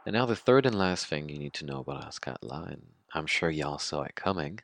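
An actor playing a haunted young Mexican man in his twenties, telling his tragic story in a halting, sweary, informal monologue for an audio drama. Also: a bass voice, expressive, dry recording, studio quality. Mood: wry storytelling. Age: 20s.

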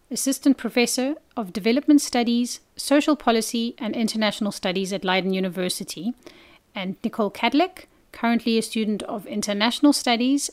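A woman hosting an interview podcast, presenting scripted introductions and questions to her guests. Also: mid-range voice. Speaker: podcast host.

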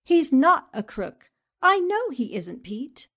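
A woman's voice: high voice, sing-song, takes it slowly, bright, forceful tone, heavily acted. A woman playing a determined, earnest young newspaper columnist in a 1940s-style radio drama. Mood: spirited, insistent. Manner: earnest, insistent.

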